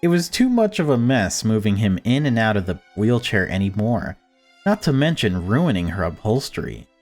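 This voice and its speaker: Guy doing a visual novel playthrough, lowish voice, reads narration and chats, music in back. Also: very animated, normal speed, a little mellow. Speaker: gaming video maker. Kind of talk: reading visual novel narration with casual commentary. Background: background music.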